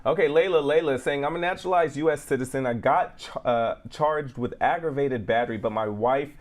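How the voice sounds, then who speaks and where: A male co-host with a medium-pitched voice reads audience questions aloud in a casual show. He stumbles over words now and then and corrects himself before moving on to the next one. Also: fairly quick. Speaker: co-host reading out viewer questions.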